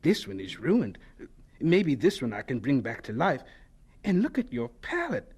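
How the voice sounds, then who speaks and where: Young cook, earnest, courteous, frank, very lively intonation, radio drama. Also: male voice, mid-range voice, moderate pace, husky. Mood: dismayed, scolding. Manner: deferential, frank, exclamatory. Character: earnest, polite young male cook with hidden dreams.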